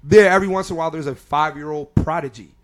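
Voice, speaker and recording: A man's voice: a little high, fast talker, slight background noise. A man in his thirties from Philadelphia talks as a guest comedian in a loose, easygoing chat. He rambles in long, winding runs with plenty of fillers and restarts. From Philadelphia area, US. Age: 30s. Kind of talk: casual chat.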